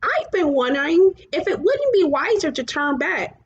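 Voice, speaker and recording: A woman voicing a gentle, earnest male luck dragon in a storybook, coaxing his companion. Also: high voice, big pitch swings, moderate pace, voice pushed up. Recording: muffled, clearly noisy home recording.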